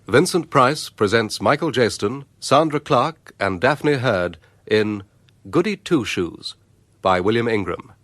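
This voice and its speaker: A man's voice: mid-range voice, lively intonation, a little slow, fairly bright tone, no echo. A man reading scripted radio drama credits as the programme announcer.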